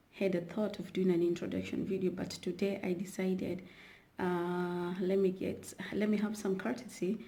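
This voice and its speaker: A woman's voice: medium pitch, vocal fry, warm tone. A woman from Kenya chatting casually to her viewers in a rambling vlog, with many fillers and restarts. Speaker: YouTuber. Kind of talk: casual vlog talk to camera.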